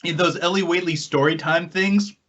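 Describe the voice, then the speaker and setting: A man's voice: fairly high pitch, steady tone, fairly quick, a crowd in the background. A man co-hosting a podcast in casual, teasing banter, often interjecting. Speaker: podcast co-host. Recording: dull lo-fi podcast audio.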